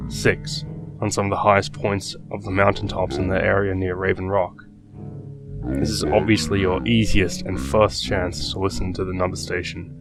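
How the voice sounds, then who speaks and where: A man with a low voice narrates a scripted horror story. He explains things in a measured way. Plucked guitar music plays clearly behind him.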